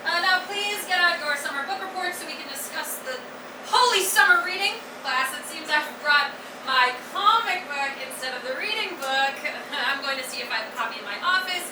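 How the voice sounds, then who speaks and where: High-pitched, scatterbrained stage-musical schoolteacher played by a woman, big announcements, roomy noisy recording. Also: animated, brisk. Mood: flustered authority. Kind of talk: theatrical staged musical reading. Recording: roomy distant stage recording, loud hiss.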